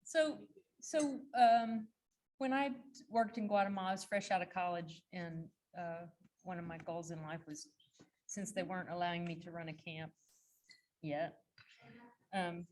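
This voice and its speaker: A middle-aged woman presents to a club room. Her voice is soft and a little low, and she talks in a folksy, easygoing way. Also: steady tone, moderate pace. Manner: soft-spoken, folksy, laughing asides. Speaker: guest speaker.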